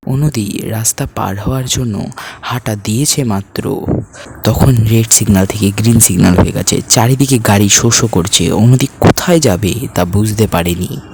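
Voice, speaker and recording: A man's voice: fairly low pitch, vocal fry, background noise. A man hosting and narrating a scripted ghost story in a dramatic storytelling style. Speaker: horror story narrator and host. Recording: faint music bed under voice. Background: soft background music.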